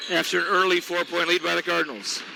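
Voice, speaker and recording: A man doing play-by-play on a local basketball game, lively and reading sponsor spots, his voice wearing thin. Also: sits high, fast, creaky. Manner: energetic, reads sponsor ads. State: voice weakening. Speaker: play-by-play announcer. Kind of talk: local sports commentary. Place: basketball gym. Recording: noisy gym broadcast. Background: gym ambience, bouncing balls.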